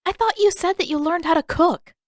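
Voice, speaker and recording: A woman voicing an exasperated, sharp-tongued fourteen-year-old girl in an audiobook, challenging and sarcastic. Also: very high-pitched, fairly quick, no room sound, studio quality, pitched above the performer's own voice. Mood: incredulous. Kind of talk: audiobook character voice.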